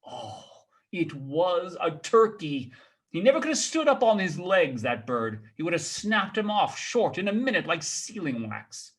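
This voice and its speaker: A man reading a story aloud as a theatrical storyteller, dramatic and expressive. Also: high-pitched, measured pace.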